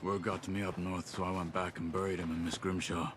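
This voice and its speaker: An actor playing a stoic, thoughtful Old West gang veteran, measured and understated, with outdoor noise behind him. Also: male voice, low voice, level tone, natural voice. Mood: sombre, reflective. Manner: measured, understated, short sentences. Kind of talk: naturalistic game cutscene dialogue. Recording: noisy outdoor ambience with hoofbeats.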